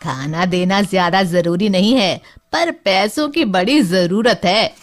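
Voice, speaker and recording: A woman's voice: mid-range voice, animated, a little slow, lightly acted. A woman voicing a lazy, stingy village son in a cartoon tale, slyly justifying himself. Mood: sly, scheming.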